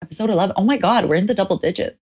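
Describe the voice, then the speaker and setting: A woman's voice: mid-range voice, rapid-fire, a little mellow, slightly rough. A woman co-hosting a casual podcast from a hotel room, bubbly and giggly, with a slightly stuffy nose. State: a little stuffy-nosed. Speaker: podcast co-host. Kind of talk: casual chat. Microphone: different travel microphone.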